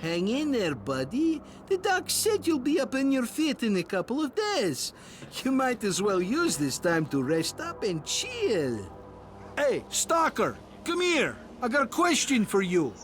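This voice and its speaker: A man's voice: extremely high pitch, wildly swooping pitch, unhurried, a bit bright, soft background music, full character voice. A man playing a hard-edged male stalker in a video game who looks after his friend. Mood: brusque.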